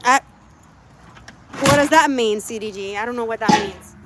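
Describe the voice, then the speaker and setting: A woman's voice: on the high side, normal speed, very noisy. A woman from Puerto Rico chatting with her live viewers while doing chores outdoors with her animals. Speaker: livestream host.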